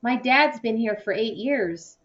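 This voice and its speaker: A woman's voice: fairly high pitch, expressive, a little slow, highs cut off, voice pushed up. A woman voicing an earnest, anxious young girl in a children's novel read-aloud. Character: earnest, worried young girl defending her father.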